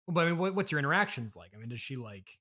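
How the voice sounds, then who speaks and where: A man's voice: on the high side, sing-song, rapid-fire, bright, forceful tone. A man interviewing in an improvised comedy conversation, hesitant and stammering, with an awkwardly defensive manner.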